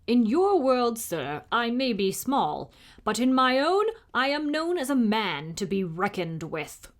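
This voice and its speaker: A woman's voice: fairly high pitch, sing-song, a little slow, pitched above the performer's own voice. A woman voicing a proud, formal, easily indignant tiny knight in a storybook, with old-fashioned dignified speech. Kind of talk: storybook character reading.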